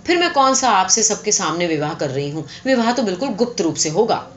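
A woman voicing an imperious, calculating young rich heiress in a story, firm and sharp as she dictates terms. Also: mid-range voice, very animated, dull audio, lightly acted.

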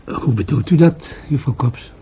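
An actor playing a probing, dryly skeptical police detective in a radio play, questioning in a measured way. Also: male voice, medium pitch, dark tone, breathy edge. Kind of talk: Dutch radio play acting.

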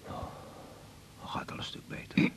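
An actor playing an older, ailing father in a radio drama, halting and confiding, fearful yet proud, with a gravelly voice that often drops to breathiness. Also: fairly bright tone, whispered, lightly acted. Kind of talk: naturalistic radio drama acting.

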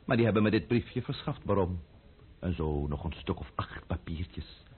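A cunning count in an old radio drama. His low voice moves widely in pitch, deliberate and mockingly polite, with menace underneath. The sound is narrow and tinny. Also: lively intonation, slightly rough. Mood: quietly threatening. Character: cunning, menacing aristocratic count, coolly sardonic schemer. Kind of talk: Dutch radio play acting. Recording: thin, phone-like old radio play recording.

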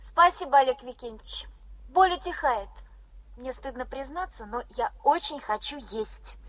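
A woman's voice: high-pitched, lively intonation, normal speed, a bit bright, dry recording. An actress playing an earnest, bookish young woman in a radio drama, speaking in formal, carefully turned phrases. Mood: shyly friendly. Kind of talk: 1980s Soviet radio drama acting.

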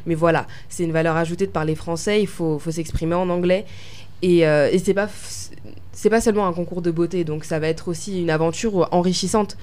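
A woman's voice: on the low side, steady tone, fairly quick, darkish tone. A young woman from France answering interview questions as a pageant winner, hesitant and full of fillers and restarts. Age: young adult.

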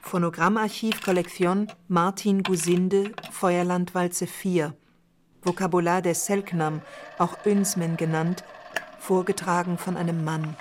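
A woman reading scripted documentary-style narration for a radio play in a measured, formal manner. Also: fairly low pitch, fairly even intonation, background noise. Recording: voice over prominent music bed. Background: music.

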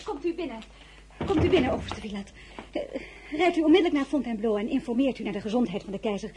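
An actress playing a tender, emotional royal wife in an audio drama, with a soft, breathy voice and an intimate way of speaking. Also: female voice, a little high, fast, slight background noise, lightly acted. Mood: anxious, commanding. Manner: intimate, hushed, feeling-laden. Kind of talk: audio drama acting with first-person narration.

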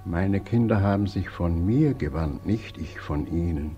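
A man's voice: low-pitched, expressive, measured pace, dark tone, highs cut off, a little hiss or hum. An actor playing God as a sorrowful, helpless, tender old man, plaintive and lamenting, in a 1940s German radio drama. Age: older. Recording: 1940s radio recording with music behind.

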